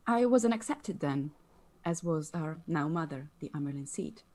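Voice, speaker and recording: A woman playing a calm, solemn sorceress who tells of old lore at a table read. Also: sits low, normal speed.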